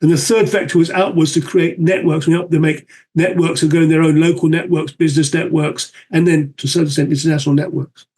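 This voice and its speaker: A man's voice: fairly high pitch, little pitch movement, fast, hardly any pauses, a little mellow. A man moderating a panel discussion, enthusiastic and fluent, repeating words for emphasis.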